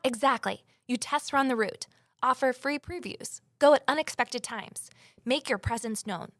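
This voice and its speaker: An actress plays a determined amateur sleuth woman in an audio drama. Her voice sits fairly high and is recorded close and dry, with an earnest, persuasive way of speaking. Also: normal speed, dry recording, close to an everyday voice. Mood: eager, earnest. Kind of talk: audio drama acting and first-person narration.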